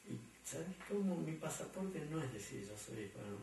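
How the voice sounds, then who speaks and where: A man's voice: fairly high pitch, very animated, normal speed, creaky, darkish tone. A man with an Argentine accent, answering interview questions in a rambling way, with many fillers and repeated words. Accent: Argentine Spanish. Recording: echoey room, distant mic, faint hum.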